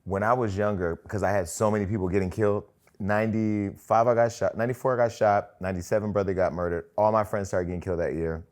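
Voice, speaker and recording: A man in his forties hosting a talk show, chatting casually and hyping up his guest with lively energy. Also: on the low side, fast. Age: 40s. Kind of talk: casual interview chat.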